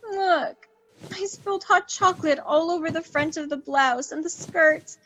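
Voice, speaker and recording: An actress playing a sweet, distressed, contrite young maiden in a radio drama. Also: female voice, very high-pitched, a little slow, a bit bright, in a large hall, slight background noise, rough recording. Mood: contrite, upset.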